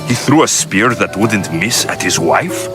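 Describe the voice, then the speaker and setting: A man's voice: fairly low pitch, expressive, unhurried, music in the background. An actor playing a cocky young male Greek mercenary in a video game, talking in a dry, laconic way. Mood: dry, curious. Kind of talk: video game cutscene acting.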